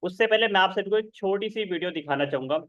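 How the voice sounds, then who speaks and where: A man presenting a webinar sales pitch, persuasive, keeps checking in with his listeners. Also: fast talker, fairly bright tone, no room sound, muffled recording. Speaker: online seminar presenter.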